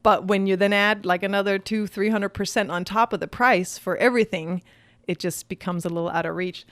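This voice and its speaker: A woman's voice: medium pitch, fairly even intonation, brisk, a bit bright, dry recording. Chatty Danish woman, dry close sound. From Denmark. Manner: laughing, self-deprecating, many fillers. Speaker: podcast guest. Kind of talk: casual chat.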